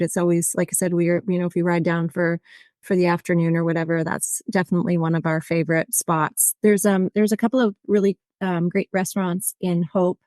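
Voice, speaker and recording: Woman, slightly low voice, tour operator guest, rambling with "um"s, dry sound. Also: a little mellow, dry recording. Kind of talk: casual interview.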